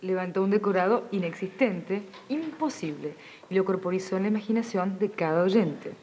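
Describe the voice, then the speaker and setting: A woman's voice: medium pitch, lively intonation, normal speed, warm tone, a little hiss or hum. An Argentine woman in her thirties reading scripted narration into a studio microphone.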